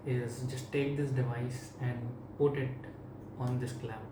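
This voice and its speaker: A man's voice: mid-range voice, flat delivery, a little slow. A man with an Indian accent giving a casual, plain-spoken product demonstration and review as a vlogger. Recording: echoey room, distant mic, clear background noise.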